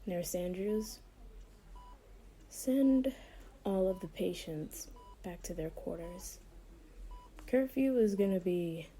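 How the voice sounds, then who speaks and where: A woman playing a doctor with a split persona, tender yet menacing, in a soft, hushed ASMR roleplay with a condescendingly sweet manner. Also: lowish voice, slight background noise. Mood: quietly menacing. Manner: slow, hushed, breathy delivery, condescendingly sweet. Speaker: voice performer.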